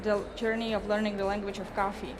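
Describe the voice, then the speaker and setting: A woman's voice: mid-range voice, level tone, over music. A woman with a Czech accent, a native Czech speaker, giving a careful, rehearsed barista competition presentation on stage. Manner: measured, careful, formal. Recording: noisy event venue ambience.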